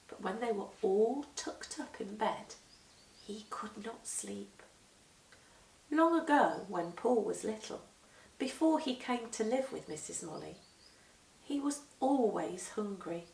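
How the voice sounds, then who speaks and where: A woman reading a children's story aloud with expressive, dramatic emphasis, moving between hushed and swelling delivery. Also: on the high side. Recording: echoey room, distant microphone.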